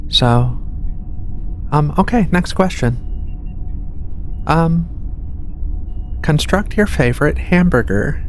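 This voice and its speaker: An ASMR performer playing a giant alien researcher, soft-spoken, gentle, amused and reassuring in a medical exam roleplay. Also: mid-range voice. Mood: gentle, curious. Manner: soft-spoken, reassuring, unhurried with hesitant murmurs. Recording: steady ambient noise of ship sounds. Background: ship ambience.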